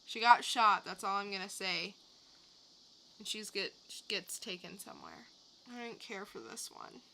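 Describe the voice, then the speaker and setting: A woman's voice: medium pitch, measured pace, pushed and bright, a little hiss or hum, rough recording. A woman co-hosting a podcast, recapping and reviewing in a casual, conversational way. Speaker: podcast co-host reviewing comics.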